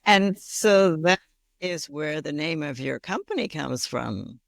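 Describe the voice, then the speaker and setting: A woman's voice: sits low, lively intonation, slow, gravelly, no echo, clean studio sound. A woman co-hosting an interview show, asking questions in a friendly, conversational way. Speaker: podcast co-host.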